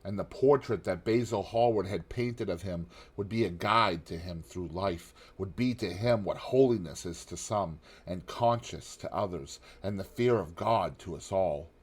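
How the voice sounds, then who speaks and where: A man reading a classic novel aloud in measured narration, dropping low and soft on grave lines. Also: slightly rough. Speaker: audiobook narrator.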